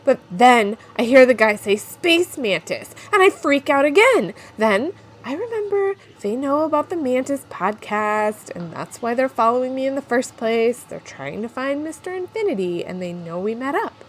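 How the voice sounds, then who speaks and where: A woman's voice: high voice, very animated, normal speed, hardly any pauses, slight background noise. A woman playing a frantic, chatty young woman on the run in an audio drama, with a rambling, confiding manner. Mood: nervous, chatty. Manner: rambling, informal, breathless asides to listeners. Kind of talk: first-person audio drama, confiding podcast monologue.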